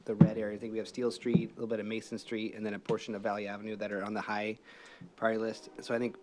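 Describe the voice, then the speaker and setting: An American man speaks as a council member in a public meeting. His voice is on the low side and stays very even in pitch. He moves quickly, circling his questions in a tentative, informal way. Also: very noisy.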